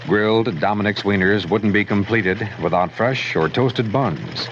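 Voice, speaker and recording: A man's voice: fairly low pitch, a little slow. A man reading a scripted retail advertisement as a radio station announcer. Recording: muffled, noisy old radio broadcast.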